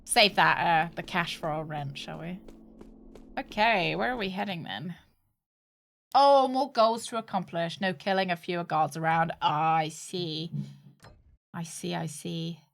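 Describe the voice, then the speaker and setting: A woman's voice: fairly low pitch, unhurried, gravelly. A woman streamer with a congested, snotty voice, chatting and apologizing cheerfully while she plays a game. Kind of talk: casual gameplay commentary.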